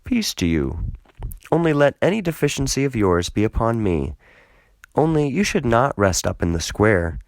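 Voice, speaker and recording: A man's voice: mid-range voice, very animated, moderate pace, pitched above the performer's own voice. A man acts out an old master of the house in a Bible story, hospitable and protective. He speaks solemnly and with emphasis, in a dry, echoless recording. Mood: welcoming.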